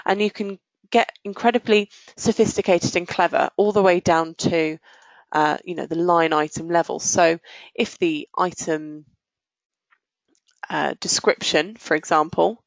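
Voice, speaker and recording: A woman presenting a software demo in a conversational, explanatory way, pausing and stretching words as she goes, on dull, muffled audio. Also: fairly low pitch, steady tone, creaky, no room sound.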